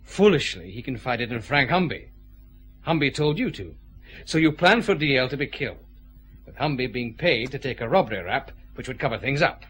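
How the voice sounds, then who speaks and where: An actor with a Scottish accent playing a shrewd, methodical detective chief inspector who lays out his accusations deliberately in a radio drama. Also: mid-range voice, animated, fairly bright tone, breathy edge. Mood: methodical, accusing. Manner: measured, accusing, deliberate interrogation.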